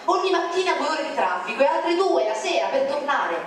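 A woman's voice: sits high, big pitch swings, brisk. A woman narrator reciting a poem in a declamatory, indignant manner. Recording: very echoey, distant, music behind.

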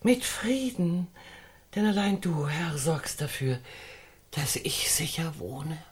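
A woman's voice: deep voice, expressive, slow pace, slightly rough, heavily acted. An actress playing a whimsical, argumentative woman who philosophizes in a wordy, declamatory way in a German radio drama. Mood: reflective.

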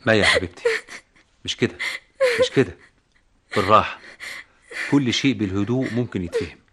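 A man's voice: on the low side, lively intonation, a little fast, a bit bright, husky. An actor playing a harried middle-aged Egyptian father in a TV drama, tense then soothing. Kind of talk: TV drama acting.

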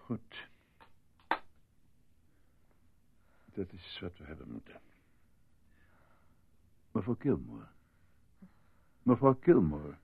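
An actor playing a solemn, formal hospital doctor with a husky, gravelly voice in a Dutch radio play. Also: male voice, deep voice, big pitch swings, slight background noise, exaggerated voice. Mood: calm, clinical. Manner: measured, formal. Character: solemn, controlled hospital doctor, coolly reassuring. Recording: thin, phone-like, dry old radio recording.

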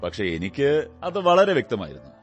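Radio drama detective male actor: observant, condescending, deliberate and instructive, with animated, swooping intonation. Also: medium pitch, lo-fi sound, faint music behind, lightly acted. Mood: amused, explaining.

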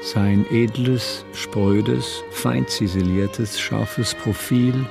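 A reflective writer in a radio drama, low and gravelly. The delivery is measured and literary, with music clearly behind it. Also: male voice, fairly even intonation, full character voice. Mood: measured, observant. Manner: measured, observant. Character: reflective male writer narrating his memories of Berlin. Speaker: narrator.

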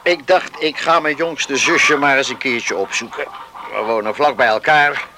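A man's voice: mid-range voice, measured pace, background noise, natural voice. An actor playing an older countryman, a concerned elder brother, in a radio play. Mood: friendly.

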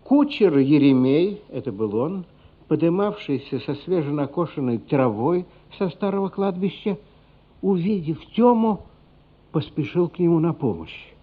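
A man narrating a literary radio play in an expressive, dramatic, deliberately phrased way. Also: a little high, husky. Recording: dull lo-fi radio play, music underneath. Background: music.

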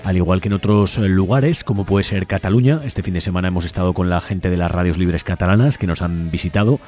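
A man's voice: deep voice, fast talker, over music. A man with an accent from Spain, reading a scripted announcement as host of a community radio programme in a studio. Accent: Spanish from Spain.

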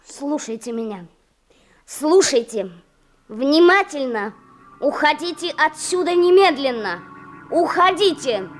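A woman's voice: high voice, expressive, takes it slowly, faint music behind. A woman playing an earnest, obedient young schoolgirl in a radio play. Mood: commanding.

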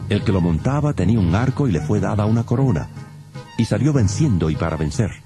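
A man's voice: lowish voice, animated, fast. A man narrating dramatized scripture in a solemn, dramatic manner. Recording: loud music behind.

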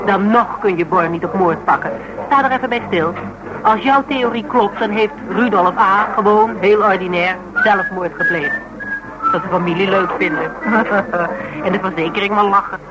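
An actress with a Netherlands Dutch accent playing a sharp-witted, bantering woman in a naturalistic radio drama. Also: mid-range voice, normal speed, muffled recording, loud music behind the voice, close to an everyday voice. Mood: mocking, blunt. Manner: bantering, blunt, mocking. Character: sharp-witted, teasing woman, confidante of a police detective.

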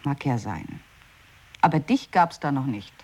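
A woman's voice: rumbling low, expressive, measured pace, darkish tone, breathy edge, exaggerated voice. An actress playing a doubtful woman who keeps questioning her partner, in an experimental radio play.

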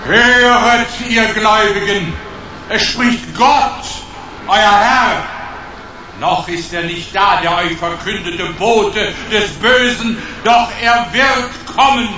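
A bombastic cult guru speaking as god. His very high voice proclaims slowly and solemnly, like a chant. It comes from afar in heavy echo, in a radio play. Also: fairly even intonation, sharp tone, heavily acted. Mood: solemn, grandiose. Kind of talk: radio drama acting. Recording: booming echo from far off, dull, noisy.

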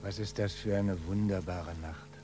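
A man's voice: low voice, fairly even intonation, moderate pace, music in the background, lightly acted. A man acting in a 1940s German radio play as a scientist's disbelieving friend, solemn and emphatic. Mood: incredulous. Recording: noisy, humming old radio recording.

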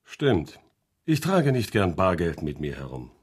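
An earnest middle-aged farmer and father in an audio drama. His voice is deep and husky, with very lively intonation. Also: measured pace, full character voice. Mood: solemn, grateful.

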